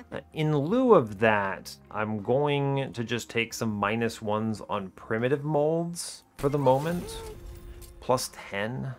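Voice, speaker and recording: A man giving casual video game commentary, thinking aloud and questioning himself as he talks through each decision. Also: mid-range voice. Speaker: gaming video host.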